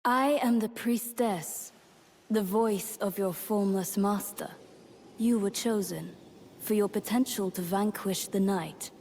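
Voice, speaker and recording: A woman playing a solemn, composed priestess in a video game, speaking in a formal and measured way. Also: mid-range voice, a little mellow. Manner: formal, measured, grave delivery. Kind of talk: scripted video game dialogue.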